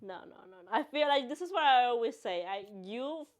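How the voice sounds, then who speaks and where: A woman's voice: fairly high pitch, lively intonation, fairly quick. A woman in her late twenties co-hosting a podcast in a casual, chatty style. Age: late 20s. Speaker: podcast co-host.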